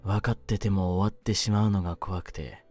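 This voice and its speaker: A man playing a world-weary, lonely young mafioso in an intimate roleplay audio, speaking in a low voice with rough streetwise phrasing. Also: fairly even intonation, brisk, faint music behind. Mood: wistful, empathetic. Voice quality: low-pitched voice. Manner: rough, streetwise masculine speech, brooding and soft-spoken. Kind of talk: intimate Japanese situation-voice roleplay, ASMR-like.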